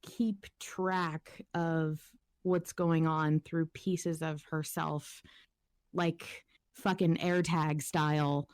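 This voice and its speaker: A woman's voice: deep voice, little pitch movement, very slow, darkish tone, no echo, clean studio sound. A woman podcast panelist talking in a casual, informal discussion.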